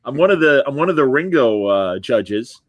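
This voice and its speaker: A man's voice: medium pitch, very animated, moderate pace, no room sound. A man hosting an interview podcast, chatty and enthusiastic, often restarting his sentences. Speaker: podcast host. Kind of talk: casual interview conversation. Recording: dry close sound.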